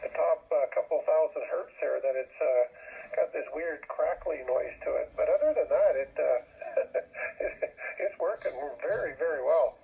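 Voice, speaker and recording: Very husky man over tinny shortwave radio. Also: on the high side, moderate pace. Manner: informal, appreciative. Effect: two-way HF radio. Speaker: amateur radio operator. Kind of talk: casual ham radio chat. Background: heard through radio speaker.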